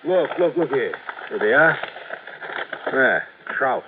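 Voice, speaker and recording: An actor playing a nervous, defensive small-time crook who blusters and repeats himself in a 1950s radio drama. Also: male voice, medium pitch, sing-song, slow. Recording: tinny old radio recording, faint noise.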